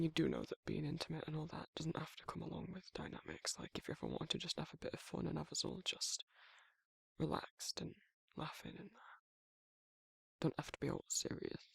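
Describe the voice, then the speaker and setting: A man playing a protective, tender werewolf lover in intimate ASMR roleplay, murmuring softly and informally with a husky, breathy voice. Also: fairly high pitch, no room sound, exaggerated voice. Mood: gentle, teasing.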